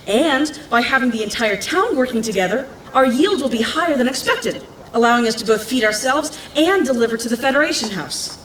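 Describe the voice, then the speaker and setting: High-voiced, bold young townswoman. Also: lively intonation, fairly quick, fairly bright tone, echoey room, slight background noise. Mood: confident, persuasive. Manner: earnest, persuasive, impatient. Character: bold, clever young townswoman pitching a defiant plan. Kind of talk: audio drama acting.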